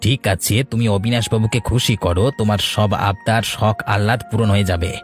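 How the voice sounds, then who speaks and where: A man's voice: fairly low pitch, fairly even intonation, moderate pace, soft background music, lightly acted. A man voicing a sly, coaxing village go-between in a cartoon tale. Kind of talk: Bengali fairy-tale cartoon voice acting.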